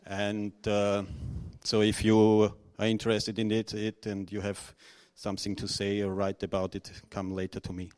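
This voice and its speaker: Halting, nervous man, low voice. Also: monotone, a little mellow, clean tone. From Austria. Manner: halting, many restarts and fillers. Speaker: conference speaker. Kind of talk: unscripted talk to an audience.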